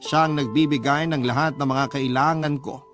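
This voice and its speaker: A man's voice: medium pitch, steady tone, normal speed, fairly bright tone, faint music behind. A man with a Filipino accent, a radio storyteller reading a first-person confession as dramatic narration.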